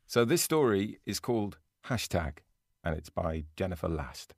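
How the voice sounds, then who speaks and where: A man co-hosting a podcast, with a gravelly voice, talking casually or reading a story aloud. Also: deep voice, very animated, moderate pace, no echo, studio quality. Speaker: podcast co-host.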